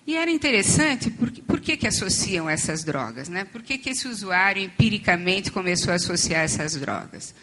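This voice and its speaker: A woman speaks at a conference with a hoarse, strained voice, near to losing it. Her tone is bright and sharp despite the hoarseness. She explains step by step, pausing on fillers and starting sentences over. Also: medium pitch, very animated. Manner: explanatory, with frequent fillers and restarts. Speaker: conference panel speaker on addiction treatment. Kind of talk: academic conference talk. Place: conference hall.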